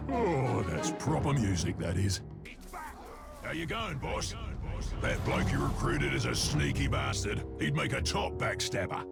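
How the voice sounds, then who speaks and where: A man's voice: lowish voice, wildly swooping pitch, unhurried, gravelly, over loud music, full character voice. An actor playing a cocky, crude, bloodthirsty orc henchman, chummy and slangy, in a video game. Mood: chummy boastful. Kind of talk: video game character acting.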